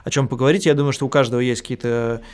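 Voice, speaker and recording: A man's voice: medium pitch, steady tone, rapid-fire. A man in his thirties chatting casually as the co-host of a podcast.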